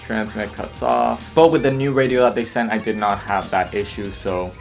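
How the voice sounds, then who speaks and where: A man's voice: on the low side, fairly even intonation, moderate pace, creaky, faint music behind. A young man hosting a casual product review vlog, speaking to viewers. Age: young adult. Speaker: host reviewing gear. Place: home room studio, later outdoors on a street.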